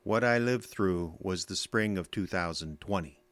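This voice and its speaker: An actor with an American accent playing a bitter, defensive middle-aged army veteran in an audio drama, gruff, sardonic and curt. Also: male voice, deep voice, normal speed, a bit bright, no echo. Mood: bitter, argumentative.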